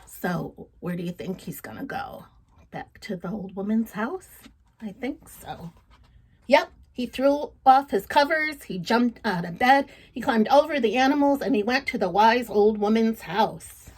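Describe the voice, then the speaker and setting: A woman tells a children's story in a fairly high voice. She takes it very slowly and reads with playful drama, as if drawing young listeners in with questions. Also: expressive, husky. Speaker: storybook reader. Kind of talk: expressive children's read-aloud storytelling.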